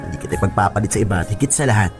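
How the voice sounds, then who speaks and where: An actor plays a devoted young fiancé in a romantic radio drama, sweet-talking and earnest. His voice is on the low side, with music clearly underneath. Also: racing, natural voice. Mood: tender, devoted. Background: music bed.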